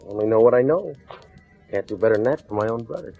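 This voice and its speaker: A man's voice: on the low side, animated, fast, gravelly, warm tone, faint music behind. A man playing a cool, wisecracking murder suspect in a 1940s radio crime drama, laconic and defiant. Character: cocky, unruffled male murder suspect, wisecracking and streetwise. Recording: dull, muffled vintage radio recording.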